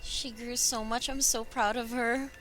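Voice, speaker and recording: A woman's voice: on the high side, level tone, a little slow, over music, rough recording. A woman streaming a video game, giving casual, gushing, playful live reactions.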